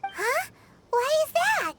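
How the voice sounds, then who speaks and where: A woman voicing a tiny, excitable floating girl companion in a video game, with a squeaky childlike voice. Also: slow, very noisy, full character voice. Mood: curious. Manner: excitable, exclaiming, cute. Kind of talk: animated video game dialogue.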